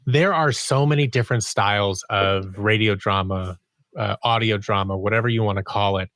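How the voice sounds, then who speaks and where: A man's voice: lowish voice, moderate pace, fairly bright tone, no echo. Guy being interviewed about his creative work, casual, acts out little asides, dry close sound. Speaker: guest, audio drama writer, producer and actor.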